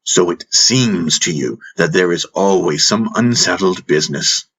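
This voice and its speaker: A man voicing a wise spiritual master in an audiobook, teasing and patient, teaching through probing questions in a husky voice. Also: deep voice, a little slow, fairly bright tone, full character voice, poor audio. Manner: instructive, rhetorical questioning with prompting hums. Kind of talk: audiobook character dialogue.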